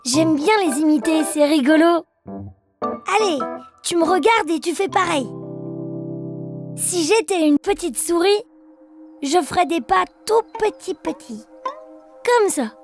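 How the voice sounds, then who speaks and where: Very high-pitched little bear cub. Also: female voice, expressive, unhurried, long pauses, faint music behind, exaggerated voice. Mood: playful, cheerful. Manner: sing-song, simple childlike delivery. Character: cheerful little bear cub, playful young child. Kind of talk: children's cartoon nursery rhymes.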